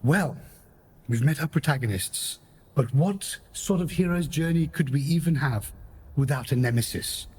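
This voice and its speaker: A man's voice: on the high side, normal speed, a little mellow, slightly rough. A man narrating and hosting a radio play in a formal, theatrical storyteller's manner. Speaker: host and narrator. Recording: music behind the voice.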